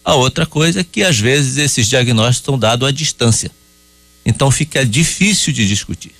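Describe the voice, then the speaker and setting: Guy doctor on the radio, gravelly voice, dry close studio sound, explains things slow and steady. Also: medium pitch, dry recording. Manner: calm, explanatory, measured with hesitations. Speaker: urologist guest. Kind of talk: radio call-in medical advice.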